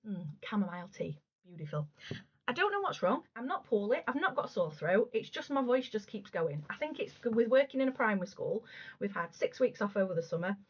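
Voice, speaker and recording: A woman in her thirties, a crafting vlogger, chatting casually and gushing about her work, her voice going a little hoarse. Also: medium pitch, sing-song, brisk. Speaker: cross stitch vlogger. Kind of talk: casual show-and-tell chat. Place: room at home. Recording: slightly dull lo-fi home recording.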